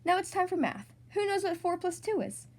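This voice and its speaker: A brisk, cheerful schoolteacher put on by a woman reader from a children's storybook. She instructs her class in a high voice with very lively intonation. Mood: instructive.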